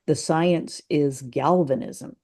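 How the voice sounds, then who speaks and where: A woman co-hosting a podcast, explaining things in casual discussion and thinking aloud in a halting way. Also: low-pitched, mellow. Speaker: podcast co-host.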